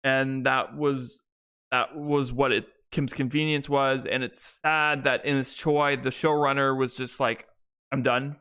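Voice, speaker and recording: A man's voice: mid-range voice, level tone, measured pace, sharp tone, clean tone. A young man co-hosting a podcast, chatting casually and rambling with many restarts and fillers. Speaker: podcast co-host. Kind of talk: casual chat.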